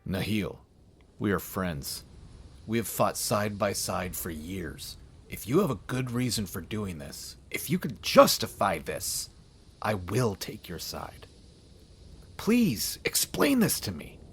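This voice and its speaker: A man playing a steadfast, grave goblin warrior in a fantasy audio drama, speaking in measured formal phrases. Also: lowish voice, very animated, creaky, slightly rough, exaggerated voice. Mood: grave, pleading. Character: loyal male goblin warrior, gruff steadfast comrade.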